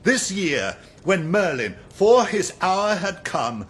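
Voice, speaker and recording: An actor playing a dramatic chronicler of a troubled realm, declaiming verse in halting phrases for a radio drama. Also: male voice, high voice, big pitch swings, fairly bright tone, exaggerated voice. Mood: grave proclamation.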